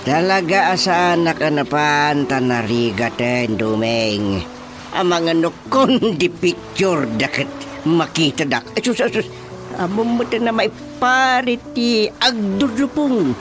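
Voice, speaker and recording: A man's voice: a little high, slow pace. A man with an Ilocano accent playing a blustering village chairman and father in a radio comedy drama. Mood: exasperated. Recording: radio drama with music bed underneath.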